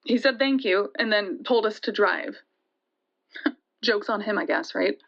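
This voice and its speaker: A woman playing a shaken young woman who recounts a frightening night in an audio drama, halting and conversational, with hesitations and restarts. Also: medium pitch, vocal fry, fairly bright tone. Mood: tense, hesitant. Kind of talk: first-person dramatic storytelling in an audio drama. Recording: dull, lo-fi sound.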